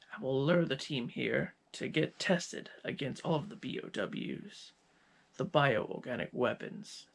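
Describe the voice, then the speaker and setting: A man playing a cold, gloating scientist villain in an ASMR roleplay, speaking with deliberate, menacing pauses in a gravelly, husky voice. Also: mid-range voice, fairly bright tone, exaggerated voice. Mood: gloating, sinister.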